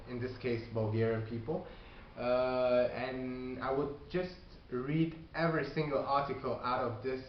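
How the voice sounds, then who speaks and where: A man who is not a native English speaker, giving casual advice on learning a language, talking haltingly with many fillers and restarts. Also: mid-range voice. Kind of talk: casual video response. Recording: echoey room, dull lo-fi sound, faint hiss.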